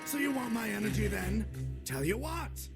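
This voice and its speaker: Sneering, gravelly parody warrior done by a man. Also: high-pitched, expressive, moderate pace. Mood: mocking. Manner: sarcastic, taunting. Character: cocky, sneering male warrior in anime parody. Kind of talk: comic fan-dub cartoon acting. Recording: loud music behind.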